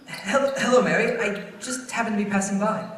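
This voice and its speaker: A woman's voice: medium pitch, a little fast, lightly acted. A woman playing a restless, awkward young small-town man who stammers, in a staged radio play. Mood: flustered. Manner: excitable, stammering. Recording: echoey hall from far off, faint noise.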